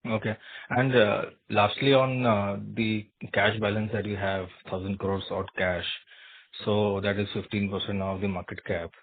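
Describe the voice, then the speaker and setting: A man's voice: lowish voice, flat delivery, normal speed, breathy edge. A man with an Indian accent, an analyst politely and hesitantly asking questions on an earnings call. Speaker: analyst caller. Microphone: phone call. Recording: muffled narrow phone line.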